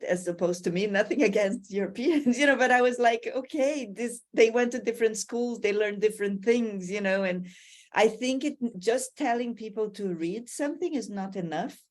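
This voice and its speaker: A woman's voice: mid-range voice, normal speed, a little mellow. A middle-aged woman from Brazil with a Brazilian Portuguese accent, speaking reflectively as a guest in a podcast interview. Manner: earnest, reflective, laughs now and then. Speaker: guest, university writing lecturer.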